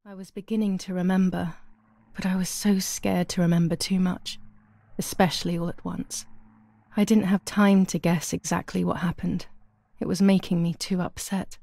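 A low, creaky young woman's voice, haunted and fearful, in a dramatic video game. The recording is dry and close. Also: little pitch movement, moderate pace, dark tone, no echo. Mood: haunted, anxious. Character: traumatized, frightened young Italian woman confiding haunted memories.

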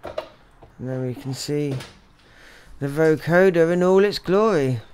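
A man's voice: on the high side, slow pace, a little mellow, slight background noise. A man giving casual commentary as a repair technician, musing aloud while he works at the bench. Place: indoor electronics workshop.

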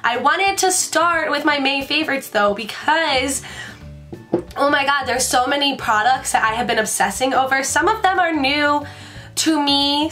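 A woman's voice: sits high, bright, forceful tone. A young woman in her twenties from the Washington DC area, chatting casually to camera in her bedroom, congested from a sinus infection and rambling in a bubbly way. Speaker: beauty vlogger. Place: bedroom, indoors.

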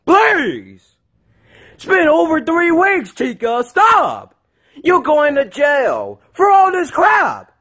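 A short-tempered scolding father in a homemade comedy skit, acted out by a man. He nags repetitively in a husky, slow, extremely animated voice that sits fairly high. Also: exaggerated voice. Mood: angry, exasperated. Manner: nagging, repetitive, exasperated. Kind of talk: amateur improvised comedy skit.

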